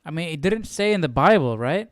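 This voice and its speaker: A man reading aloud and commenting casually, thinking out loud in informal, slangy words. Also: a little high, lively intonation, brisk, clear, resonant tone, no room sound, clean studio sound. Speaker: host of a live Bible study stream.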